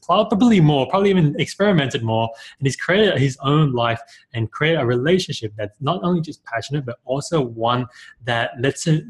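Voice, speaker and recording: A man's voice: medium pitch, poor audio. A man hosting a podcast, introducing his guest in a casual, enthusiastic way with many fillers. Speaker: podcast host. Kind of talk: podcast interview.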